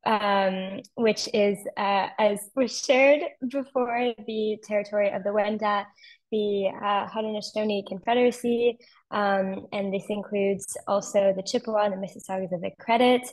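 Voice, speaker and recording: A woman's voice: medium pitch, fairly even intonation, normal speed, poor audio. Young woman, chatty student panelist. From Toronto, Canada. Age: young adult. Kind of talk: casual self-introduction on a video call.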